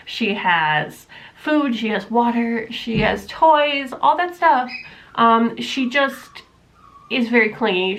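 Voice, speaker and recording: Young woman, slightly high voice, stuffy nasal tone, rambling casual vlog delivery, home room on a camera mic. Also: a bit bright. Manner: rambling, many fillers, self-deprecating. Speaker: book vlogger. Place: room at home, not yet set up for filming. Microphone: camera mic, camera propped on books.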